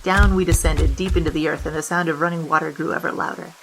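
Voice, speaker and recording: A wry hardboiled bandit voiced by a woman tells a noir tale at a rapid clip. The voice sits a little low, deadpan and slangy, in radio-play narration. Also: over loud music. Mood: dramatic, deadpan.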